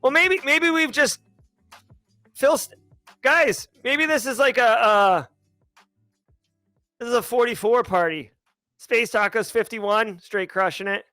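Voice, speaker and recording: A man's voice: extremely high pitch, slow pace, pauses often, sharp tone, dry recording, clean studio sound, soft background music. A man in his forties hosting a casual livestream, reading chat questions in a rambling, upbeat way and often stopping to correct himself. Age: 40s.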